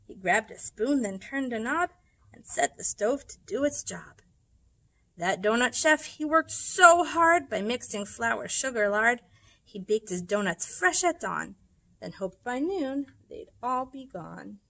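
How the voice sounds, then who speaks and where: Woman reading rhymes aloud, high lively voice. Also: vocal fry. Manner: dramatic, deliberate storybook phrasing. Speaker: librarian reading picture books aloud. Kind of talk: expressive rhyming read-aloud for children. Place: indoors in a library room.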